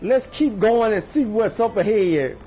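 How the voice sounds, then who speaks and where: A woman comments casually on a video game in short, slangy reactions. The pace is slow but the intonation swings widely. The sound is a little dull, with music clearly behind it. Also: mid-range voice, lively intonation. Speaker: gamer.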